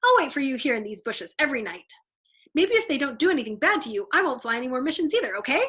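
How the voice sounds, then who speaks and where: An anxious airman from a storybook, acted out by a woman reader, chatty and needy. The voice rides high and rambles eagerly, pleading like someone who badly wants a favor. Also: sing-song, quick pace, pushed and bright, exaggerated voice. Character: anxious, pleading airman, a reluctant family man.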